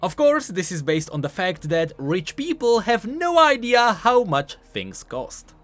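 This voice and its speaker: A man's voice: high-pitched, huge pitch swings, unhurried, a bit bright, faint music behind. A man giving scripted explainer commentary as a video host, in an explanatory, emphatic manner.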